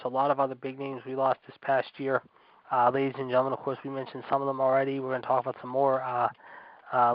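A man in his forties hosts a casual radio show at a quick clip. He leans on repeated stock phrases and emphatic announcer-style address. The sound is dry and somewhat muffled, like lo-fi internet radio. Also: mid-range voice, dry recording. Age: 40s. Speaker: host. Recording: dull lo-fi internet radio audio.

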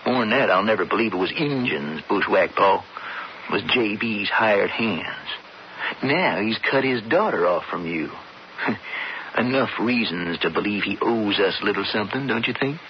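An actor playing a scheming older brother turned stage robber in a radio western, with a folksy, persuasive American Western drawl. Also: male voice, lowish voice, expressive, normal speed, natural voice. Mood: sly, persuasive. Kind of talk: radio western drama acting. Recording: muffled, noisy old radio broadcast.

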